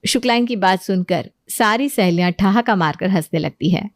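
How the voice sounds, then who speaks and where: A woman's voice: mid-range voice, normal speed, dry recording, clean studio sound. A woman storyteller reading scripted narration for a moral story.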